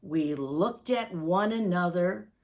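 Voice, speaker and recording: A woman reading a rhyming story aloud to young children in an expressive, deliberate storytime manner. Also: on the low side.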